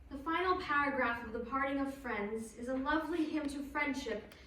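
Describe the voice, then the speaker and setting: A woman's voice: high voice, moderate pace, pushed and bright. A woman narrating from a script, linking the passages of a dramatic reading. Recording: very echoey, distant, faint background noise.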